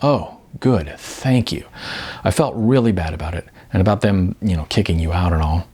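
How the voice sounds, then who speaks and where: A man voicing a laid-back, apologetic young movie star in an audiobook, speaking in a halting, casual, earnest way. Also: sits low, mellow, slightly rough, pitched below the performer's own voice.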